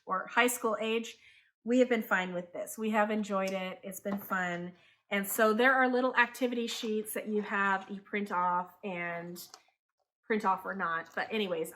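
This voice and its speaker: A woman in her thirties who grew up in Taiwan, a homeschooling parent talking casually to the camera, chatty and quick to correct herself. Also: medium pitch, fairly bright tone, a little hiss or hum. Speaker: homeschooling parent and channel host.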